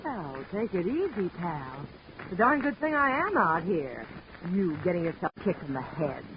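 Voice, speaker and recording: A plucky, no-nonsense young woman, brisk and lively, her voice rising and falling freely. It comes through a muffled old radio recording with a faint hiss. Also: lowish voice. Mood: chiding. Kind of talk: 1940s radio acting.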